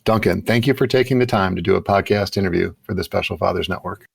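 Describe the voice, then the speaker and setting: Male podcast host, conversational interviewer. Lowish pitch with noticeable fry, dry recording. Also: fast talker, no echo.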